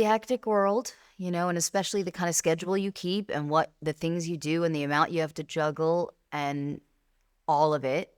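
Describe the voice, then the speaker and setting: A woman's voice: sits low, clear, resonant tone, no echo, studio quality. A woman hosting a podcast interview, affirming her guest and asking open questions with plenty of fillers. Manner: warmly affirming, with many fillers and restarts. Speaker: podcast host. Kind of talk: interview conversation.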